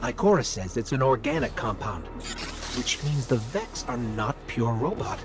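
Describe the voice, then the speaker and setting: An actor playing a small, plucky, talkative robot companion in a video game cutscene, earnest and inquisitive. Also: male voice, mid-range voice, sing-song, normal speed, music in the background, natural voice. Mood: curious.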